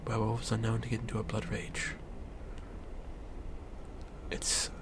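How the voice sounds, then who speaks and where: A man playing a gentle, protective vampire boyfriend in an ASMR roleplay, speaking softly, haltingly and reassuringly. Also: on the low side, monotone, natural voice. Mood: gentle, soothing. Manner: soft, hushed, halting, reassuring. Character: gentle, protective male vampire boyfriend soothing his lover.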